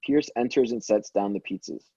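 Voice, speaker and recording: Man, matter-of-fact table-read narrator, mellow husky voice, lo-fi recording. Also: on the low side, fairly quick, no echo. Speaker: stage directions reader at a table read. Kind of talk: reading script directions aloud.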